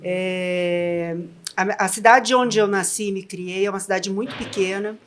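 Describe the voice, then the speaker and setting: A middle-aged woman from the São Paulo countryside recounts her path openly and warmly. She speaks with her regional Brazilian accent, at an even, middle pitch. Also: unhurried, slight background noise. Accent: Brazilian Portuguese, São Paulo interior. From interior of São Paulo state, Brazil. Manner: warm, candid, a little emotional. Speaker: guest, trade fair executive. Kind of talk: reflective personal storytelling.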